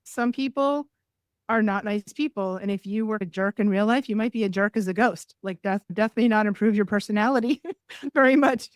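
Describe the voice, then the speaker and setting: Female guest from New England, mid-pitched, dry sound, easy advice-giving tone. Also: moderate pace, dry recording. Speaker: podcast guest, energy healer. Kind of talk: casual interview chat.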